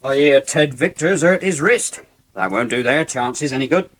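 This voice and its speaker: An actor playing a wry, nagging young village darts captain in a radio soap. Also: male voice, medium pitch, expressive, moderate pace, no room sound, lightly acted. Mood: friendly, harried. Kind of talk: 1980s radio soap acting. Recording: hissy 1980s radio broadcast recording.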